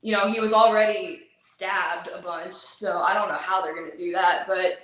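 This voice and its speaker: Echoey, talkative woman guest, a bit hoarse. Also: lowish voice, fairly even intonation, moderate pace, fairly bright tone. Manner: talkative, filler-heavy, laughs easily. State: losing her voice. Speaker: actress guest. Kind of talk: casual interview chat. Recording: very echoey room.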